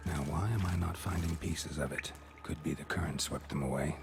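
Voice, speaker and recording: An actor playing a stoic, world-weary monster hunter in a video game, terse and deadpan. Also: male voice, rumbling low, a little fast, vocal fry. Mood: pensive. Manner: terse, deadpan, muttering to himself. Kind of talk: dramatic video game dialogue. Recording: background music behind the voice.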